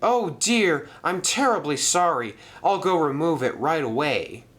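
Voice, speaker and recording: A man's voice: a little high, very animated, measured pace, a bit bright, pitched above the performer's own voice. A man voicing a friendly, eager male engine in a storybook reading.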